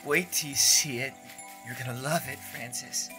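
A man's voice: mid-range voice, moderate pace, over music, natural voice, poor audio. A man playing an eager, awkward, lovestruck amateur poet in a horror audio drama, earnest and nervous. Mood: eager, proud.

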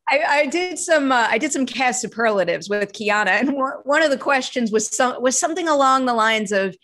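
An American woman in her thirties with a slightly high voice hosts a casual entertainment interview. She is chatty and enthusiastic, speaking close to a desktop microphone in a small room. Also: animated, a little fast, a bit bright. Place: small home room, video call. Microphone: large desktop microphone, close.

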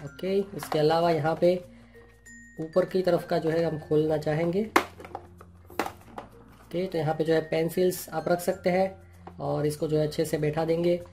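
A man's voice: a little high, little pitch movement, measured pace, long pauses. A man hosting an unboxing and review, talking through each item in plain explanatory Hindi full of repeated "jo hai" fillers. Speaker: channel host reviewing products. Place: indoors at a table. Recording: clear music playing underneath.

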